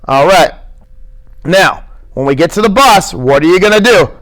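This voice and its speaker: A small, short-tempered working man who scolds and grumbles in a high, irritable voice, as a male reader puts him on. He talks with gruff impatience in this storybook reading. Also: lively intonation, moderate pace, fairly bright tone, rough recording. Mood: gruff, scolding. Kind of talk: audiobook character reading.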